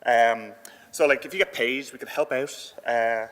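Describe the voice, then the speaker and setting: A man giving an informal conference presentation in a conversational, halting way with frequent filler words. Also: mid-range voice, a bit bright. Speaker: conference speaker. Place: indoor conference hall. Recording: echoey hall with long reverb.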